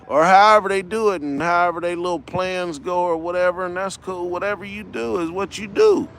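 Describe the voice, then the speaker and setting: A man's voice: sits high, slight background noise. A man of fifty, unwell and tired, talking in a rambling, casual livestream, full of street slang and repeating himself. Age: 50s. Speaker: livestream host.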